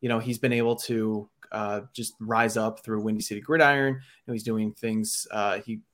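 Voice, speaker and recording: A man's voice: fairly low pitch, steady tone, normal speed, a bit bright. A man hosting a casual sports podcast, friendly and easygoing. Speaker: podcast host.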